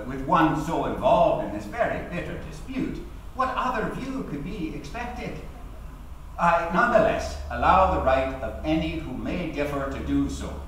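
A middle-aged actor playing a proud, eloquent male labour activist recalling his trial, speaking in formal, deliberate theatrical oratory with emphatic pauses. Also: fairly high pitch. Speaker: actor giving a dramatic reading. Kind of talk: theatrical courtroom-style oratory at a lectern. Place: large auditorium, at a lectern. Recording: very echoey hall, distant mic, faint noise.

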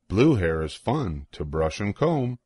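Older male storybook reader, lowish voice, very animated, dry close sound. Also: slow, husky, no room sound. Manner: playful, sing-song rhythm with swooping emphasis. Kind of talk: expressive read-aloud of a children's rhyming picture book.

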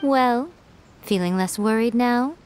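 A woman voicing a sly, poised fox-spirit shrine maiden in a video game, unhurried, teasing and self-assured. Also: fairly high pitch, heavy background noise. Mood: amused, knowing. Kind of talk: video game character dialogue.

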